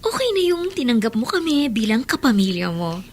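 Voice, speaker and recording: An actress in a Filipino radio drama playing a kind, forgiving schoolgirl older sister, gentle and reassuring. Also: on the high side, big pitch swings, brisk.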